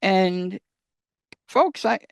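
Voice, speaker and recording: A man's voice: high-pitched, expressive, drawn-out words, dry recording. A man co-hosting a casual fan discussion, speaking conversationally and addressing listeners directly. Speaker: podcast co-host.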